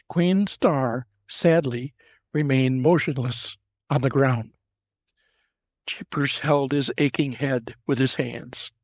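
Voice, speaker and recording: A man narrating an audio drama in a measured, dramatic storytelling manner. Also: mid-range voice, darkish tone, no room sound.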